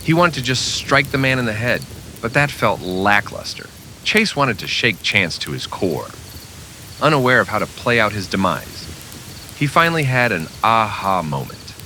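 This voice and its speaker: A man's voice: lowish voice, big pitch swings, moderate pace, sharp tone, slight background noise, low-quality recording. A man narrating a scripted old-time western radio drama with dramatic storytelling delivery.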